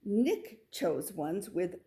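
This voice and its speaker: A woman reading a children's picture book aloud, with expressive delivery and little asides to the listener. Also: lowish voice, drawn-out words. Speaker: storybook reader.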